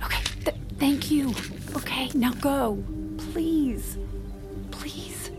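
A woman's voice: sits high, slow pace, over music. An actress playing a flustered, defensive woman in an audio drama, speaking hesitantly and apologetically. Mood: urgent, uneasy. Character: Diana, flustered, defensive unhappy working woman. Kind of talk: naturalistic audio drama acting.

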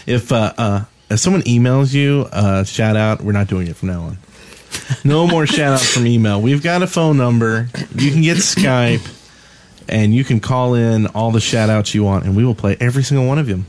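A man's voice: medium pitch, moderate pace, darkish tone, a little hiss or hum. A man co-hosting a podcast with casual banter. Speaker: podcast co-host. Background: music.

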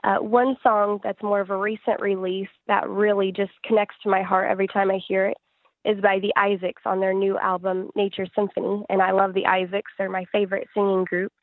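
Woman in a muffled, even-toned interview. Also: mid-range voice, brisk, no room sound. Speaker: singer-songwriter being interviewed. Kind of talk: conversational interview answers.

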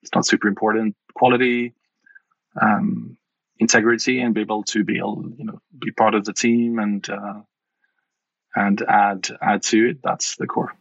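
A middle-aged man from Sweden with a Swedish accent, answering interview questions with many pauses and restarts. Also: lowish voice, level tone, no room sound, lo-fi sound. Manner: hesitant, many fillers and restarts. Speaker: wine guide founder. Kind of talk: podcast interview.

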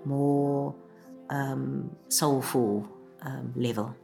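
Low-voiced woman. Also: fairly even intonation, extremely slow, gravelly, over music. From South Africa. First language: Afrikaans. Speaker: former anti-apartheid activist. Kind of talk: documentary interview.

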